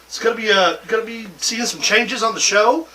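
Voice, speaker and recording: A man's voice: high voice, fairly quick, pushed and bright, distant mic, low-quality recording. A man hosting a wrestling talk show with boisterous, jokey, profane banter and casual predictions.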